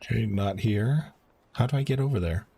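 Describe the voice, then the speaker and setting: A male game streamer with a lowish pitch and a noticeably husky tone. He talks casually, half to himself, as he plays. When he reads game text aloud, his delivery is halting. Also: dark tone. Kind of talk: casual live-stream gameplay commentary and reading dialogue aloud.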